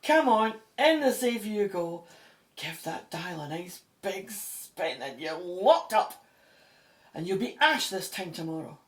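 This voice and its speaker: An actress playing a feisty, beleaguered Egyptologist who exclaims and mutters to herself in an audio drama. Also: female voice, on the low side, very animated, takes it slowly. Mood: rattled, defiant. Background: music.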